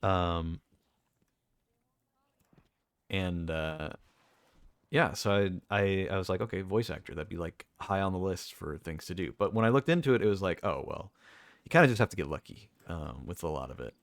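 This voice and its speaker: A young man with a deep voice, talking casually and rambling with lots of fillers and restarts as a streamer. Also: lively intonation, normal speed, long pauses, no room sound, clean studio sound. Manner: rambling, self-correcting, many fillers.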